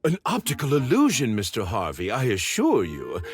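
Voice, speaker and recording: A man's voice: mid-range voice, huge pitch swings, moderate pace, soft background music. A man playing a jovial, over-polite game character with florid, theatrical wording and a nervous streak. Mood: showy, cheerful. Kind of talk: video game character acting.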